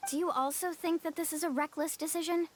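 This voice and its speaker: A woman as a high-voiced, confident young guide. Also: steady tone, fast, heavy background noise, close to an everyday voice. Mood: reflective. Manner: firm, assured. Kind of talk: video game character acting.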